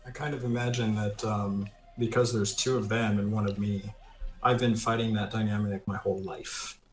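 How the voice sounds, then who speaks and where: A man's voice: on the low side, flat delivery, moderate pace. A man playing a tabletop role-playing game, casually thinking aloud about his character. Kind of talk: casual improvised character discussion. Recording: roomy echo on the voice. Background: faint music.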